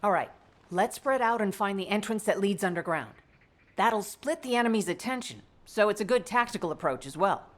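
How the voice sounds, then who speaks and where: A composed, scholarly female doctor in a video game, played by a woman. She speaks at an average pitch in a measured, matter-of-fact way, laying out information with articulate care, like someone used to briefing a team. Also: lively intonation, close to an everyday voice. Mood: composed, analytical. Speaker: medical doctor character. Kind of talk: scripted game dialogue, explanatory briefing.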